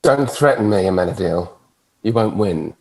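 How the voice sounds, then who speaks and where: An actor playing a suave, defiant devil, wry and theatrical, in a drama script read. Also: male voice, fairly low pitch, expressive, moderate pace, darkish tone. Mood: taunting.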